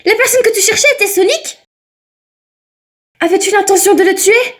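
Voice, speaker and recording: A woman's voice: very high voice, normal speed. A woman voicing a devoted, stubborn young hedgehog girl in an amateur French game fan dub. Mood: firm, upset. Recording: background music under the voice.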